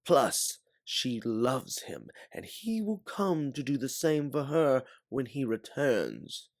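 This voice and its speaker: Wealthy sci-fi crime boss played by a man, imperious and menacing, gravelly edge, very animated audiobook delivery. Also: medium pitch, slow, dry recording, clean studio sound, voice pushed up. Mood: angry, indignant. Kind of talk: audiobook character voice.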